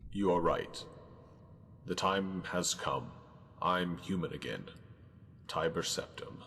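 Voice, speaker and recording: A man plays an ancient, solemn god-emperor in a fantasy game, his voice extremely deep and gravelly. He speaks in grave, measured sentences, and a long hall reverb trails behind every word. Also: a bit bright, exaggerated voice. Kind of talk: game character dialogue.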